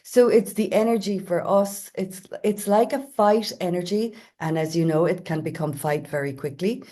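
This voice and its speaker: A woman explaining as a guest in a casual talk, steady, sometimes playing out quoted voices. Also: on the low side, normal speed. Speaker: guest astrologer.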